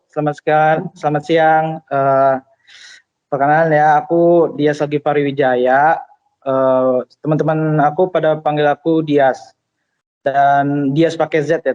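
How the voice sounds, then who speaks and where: A man's voice: a little high, a little mellow, lo-fi sound. A young male first-year medical student, polite with fillers in a casual interview. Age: young adult.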